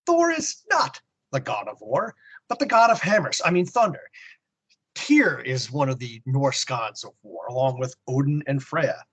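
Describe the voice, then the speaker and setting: A man co-hosting a podcast, giving prepared comic-book review commentary in a husky voice. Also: medium pitch, big pitch swings, measured pace, fairly bright tone. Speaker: podcast co-host.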